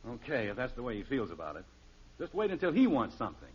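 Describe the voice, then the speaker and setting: A man's voice: medium pitch, huge pitch swings, quick pace, breathy edge. An actor playing a grumbling, stubborn middle-aged American father, wry and exasperated, in a 1950s radio sitcom. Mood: wry, put-upon. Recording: muffled, faintly noisy old radio recording.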